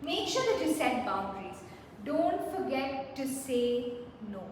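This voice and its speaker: Female workshop presenter, high voice, chatty and engaging with the crowd; distant mic, very roomy hall sound. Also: very animated, a little slow. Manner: engaging, asks the audience questions, leads exercises. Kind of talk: interactive self-care workshop. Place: large hall with an audience. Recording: echoey hall, mic far off, faint noise.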